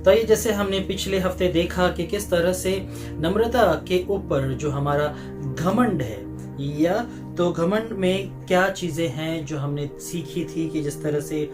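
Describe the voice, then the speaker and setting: A male Indian preacher with a fairly high voice delivers an online sermon. He speaks haltingly, dropping in English words, while instrumental music plays clearly behind him. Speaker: pastor preaching. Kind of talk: sermon with prayer to an online congregation.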